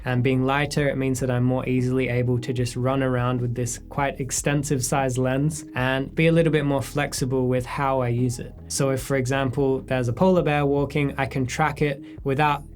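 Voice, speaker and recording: A man in his thirties, a photographer, answering viewer questions in a relaxed, reflective sit-down chat in his studio. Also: mid-range voice, moderate pace, hardly any pauses. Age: 30s. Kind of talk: casual sit-down Q&A. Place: his studio space, indoors.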